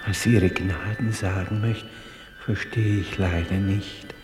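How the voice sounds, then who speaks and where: An older man playing a timid, humble old porter in a vintage German radio play, halting and deferential. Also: sits low, fairly even intonation. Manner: halting, deferential, breaking into whispers. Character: humble, timid old messenger, poor widower. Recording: echoey vintage radio play, long reverb. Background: music.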